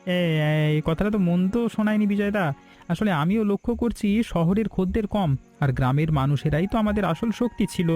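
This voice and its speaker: A man's voice: high voice, fairly quick, warm tone, soft background music, lightly acted. A man playing a loyal, eager hotel assistant in a cartoon, deferential and earnest. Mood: agreeable. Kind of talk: Bengali moral-story cartoon dialogue.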